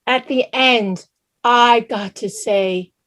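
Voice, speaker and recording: A woman's voice: fairly high pitch, slow pace, fairly bright tone. A woman playing a doting, dramatic Salvadoran mother in her thirties in a staged reading, with an exclamatory, chiding manner. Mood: teasing. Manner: exclamatory, chiding, mixes Spanish into English. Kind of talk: live staged play reading.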